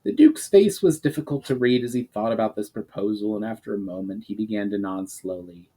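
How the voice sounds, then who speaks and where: A man's voice: on the low side, a little fast, dark tone, breathy edge, poor audio. A man narrating a fantasy story as a dramatic reading of his own book.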